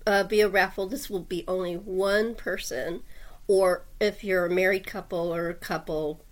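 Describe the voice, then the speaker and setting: Chatty, rambling woman host, medium pitch. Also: fairly bright tone. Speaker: host and producer of a radio drama. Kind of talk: informal announcement to listeners.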